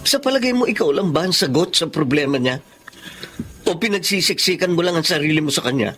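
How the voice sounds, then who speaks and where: An actor playing a jealous, frustrated suitor in a radio drama, reproachful and insistent. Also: male voice, fairly high pitch, expressive, a little fast, a bit bright, slight background noise. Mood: reproachful, hurt. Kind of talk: Tagalog radio drama acting.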